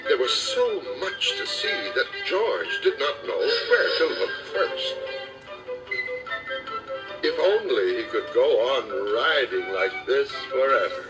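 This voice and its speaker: Animated, unhurried man reading a children's storybook. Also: medium pitch. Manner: expressive, dramatic storytelling delivery. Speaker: audiobook narrator. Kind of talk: children's storybook read-aloud. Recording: slightly distant cassette recording with music.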